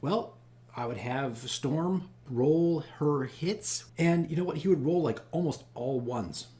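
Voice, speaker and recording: A man telling a casual, animated story as a game master on a vlog, rambling and repeating his phrases. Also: fairly high pitch, slow pace, slightly rough. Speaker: tabletop game master recounting his campaign.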